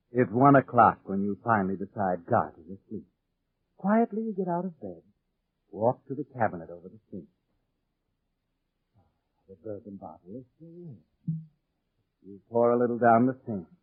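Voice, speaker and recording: Ominous, expressive 1940s radio mystery narrator, male. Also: on the low side, husky, dry recording. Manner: ominous, insinuating. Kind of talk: 1940s radio suspense narration, second person. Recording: old 1940s radio, narrow muffled band. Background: dramatic music.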